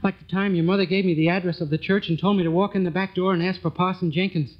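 A man's voice: high-pitched, fairly even intonation, fast talker, natural voice. An actor playing a hapless, sheepish young man with goofy schemes in an old radio comedy. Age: young adult. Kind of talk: old-time radio sitcom acting. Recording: dull, noisy old radio recording.

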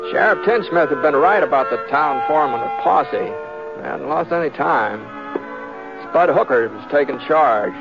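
An actor playing an easygoing, modest western drifter with a hesitant, folksy drawl, in 1950s radio western style. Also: male voice, fairly high pitch, animated, moderate pace, gravelly, loud music behind the voice. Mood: calm narrating. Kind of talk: 1950s radio western acting and first-person narration. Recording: muffled, noisy, crackly old radio recording.

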